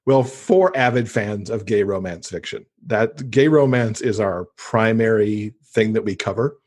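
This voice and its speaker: A man's voice: fairly low pitch, measured pace, darkish tone. A man talking casually as a guest in an interview, chatty and enthusiastic about books. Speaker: podcast guest; podcast host and author.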